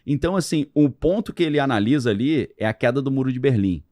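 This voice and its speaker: A man at a middle pitch, talking through history in a relaxed, chatty way. He leans on slang and fillers as he explains. His voice is recorded dry and close, with no room sound around it. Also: fast talker, no echo. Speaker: podcast guest. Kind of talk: casual conversational explanation.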